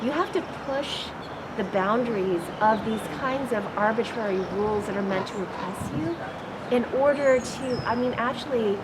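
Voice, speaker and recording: Woman, fairly high voice, conversational interviewer, very noisy outdoor recording with vehicles. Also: moderate pace. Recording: loud outdoor ambience with vehicles.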